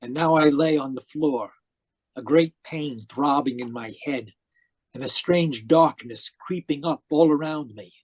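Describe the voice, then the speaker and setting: An actor playing an obsessive, domineering uncle in an old-style radio mystery, with theatrical, emphatic delivery. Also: male voice, fairly high pitch, unhurried, a little mellow, breathy edge. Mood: grave, haunted narration. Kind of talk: 1940s radio mystery acting, narrating and dialogue. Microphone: video call. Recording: muffled-edged online video call.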